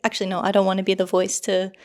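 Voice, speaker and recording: A woman co-hosting a podcast, chatting casually in a calm, matter-of-fact way. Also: mid-range voice, racing. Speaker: podcast co-host. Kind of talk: casual chat.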